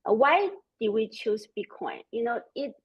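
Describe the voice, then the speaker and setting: A woman in her forties with a German-Chinese accent, speaking as a panelist in a measured way with frequent fillers. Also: on the high side, lively intonation, breathy edge, muffled recording. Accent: German and Chinese mixed. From Austria. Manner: measured, with frequent "um" and "you know". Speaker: panelist, company CEO. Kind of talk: panel discussion.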